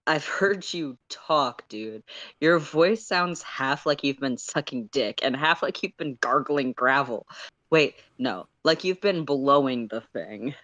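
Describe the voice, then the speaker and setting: A woman's voice: very deep voice, expressive, slow pace, a bit bright, full character voice. A woman voicing a dry, put-upon, cool young man in a story read on a livestream. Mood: dry, skeptical. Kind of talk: character reading on a livestream.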